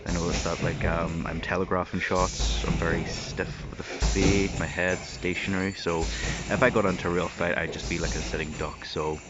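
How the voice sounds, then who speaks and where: A man's voice: low-pitched, flat delivery, moderate pace, over loud music. A man doing voice-over commentary with dry, self-mocking humor. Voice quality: husky. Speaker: vlogger. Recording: dull lo-fi voice-over with noise.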